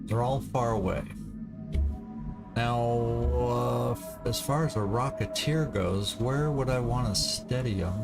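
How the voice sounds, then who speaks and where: A man giving casual commentary on a strategy game, thinking aloud in a deliberate way as he plays. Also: mid-range voice. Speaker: gaming video host. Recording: game music behind the voice. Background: video game music.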